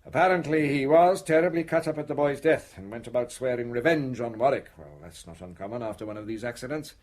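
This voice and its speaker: An actor as a probing police inspector, lively intonation. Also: male voice, medium pitch, natural voice. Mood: brisk. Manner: questioning, hesitant pauses with ums. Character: police inspector, brisk, probing, quietly suspicious man. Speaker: actor in a radio play. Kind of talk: British radio drama dialogue.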